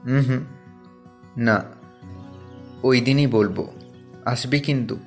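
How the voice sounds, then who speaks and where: A man playing a sweet, earnest teenage schoolboy in an audio love story, gentle and coaxing. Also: medium pitch, slow, soft background music. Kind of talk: Bengali audio story acting.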